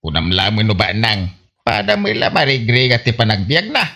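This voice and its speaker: A man's voice: on the low side, sing-song, brisk, bright, forceful tone, full character voice. A man playing a gossipy village fellow, talking colloquially in a comedy radio drama. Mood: amused, gossipy.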